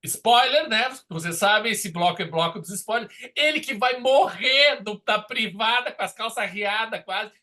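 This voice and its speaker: A man's voice: high-pitched, expressive, measured pace, few pauses, pushed and bright. Man, southern Brazilian accent, film podcast host, casual and opinionated, emphatic colloquial storytelling.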